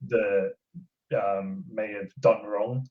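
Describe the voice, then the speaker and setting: A woman in casual podcast conversation, speaking in an agreeable, measured, halting way. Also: very deep voice, little pitch movement. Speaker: podcast co-host or guest. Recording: dull lo-fi audio.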